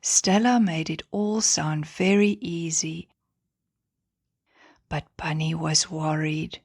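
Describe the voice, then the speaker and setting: A woman narrating a children's bedtime story in a gentle, expressive manner. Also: sits low, slow pace, slightly rough, no room sound, studio quality.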